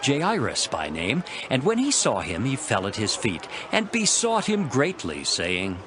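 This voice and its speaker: A man's voice: mid-range voice, wildly swooping pitch, measured pace. A man narrating scripture as a dramatized story, in a dramatic storytelling manner. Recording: loud music behind the voice. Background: music.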